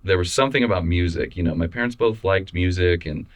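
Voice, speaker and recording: A man with a very deep, low-pitched voice talks in a relaxed, casual way. He often trails off, repeats words and restarts his sentences while he chats in an interview. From Missouri, USA. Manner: relaxed, halting, many restarts and fillers. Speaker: photographer guest.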